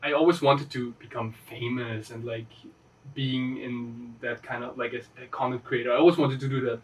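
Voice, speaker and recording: A man's voice: mid-range voice, fast talker, recorded from a distance, poor audio. A man, a guest chatting casually in an interview and rambling with fillers. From Austria. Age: 20s. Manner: relaxed, rambling, lots of fillers and restarts.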